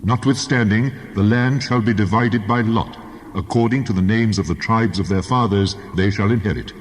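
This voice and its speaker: A man's voice: fairly low pitch, moderate pace. A man playing God in a dramatized Bible reading, solemnly declaring law with authority, his voice in a cavernous reverb. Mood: solemn, commanding. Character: God, commanding male deity giving law to Moses. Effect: long cavernous reverb. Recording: long hall reverb, clear noise behind.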